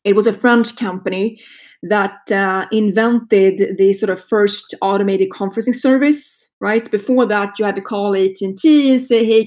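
A woman in her fifties with a Swedish accent talks through her career in an easygoing interview. Her speech is halting, with many ums and restarts. Her pitch sits in a middle range for a woman. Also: darkish tone. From Sweden. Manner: hesitant, many fillers and restarts. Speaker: guest, marketing executive. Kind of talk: casual interview talk.

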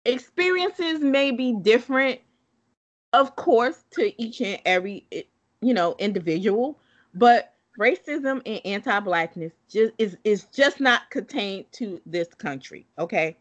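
A woman's voice: fairly high pitch, expressive, unhurried, highs cut off. A woman co-hosting a casual book discussion, talking emphatically and bluntly, often repeating herself to drive a point home.

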